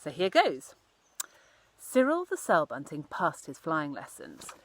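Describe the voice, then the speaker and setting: A woman narrating a children's storybook as its author, with an animated, dramatic delivery. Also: deep voice, measured pace, slight background noise. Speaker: author reading own children's book.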